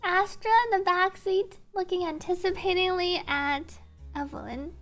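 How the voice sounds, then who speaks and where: A woman streams her casual reactions to a video game in a strikingly high voice. Her tone is bright and forward, and her manner is chatty and giggly. Soft music plays underneath. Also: normal speed.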